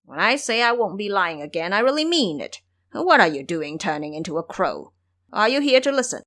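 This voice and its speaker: A cowardly pig-monster fool, played by a woman in an audio storybook. He pleads and protests in exclamations, with a very lively, swinging pitch. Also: a little fast. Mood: indignant.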